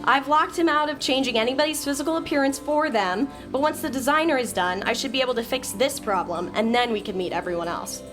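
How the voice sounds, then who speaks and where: A woman playing an impatient, sharp-tongued young coder who talks bluntly and sarcastically. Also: high voice, quick pace, few pauses, over music, natural voice. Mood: irritated.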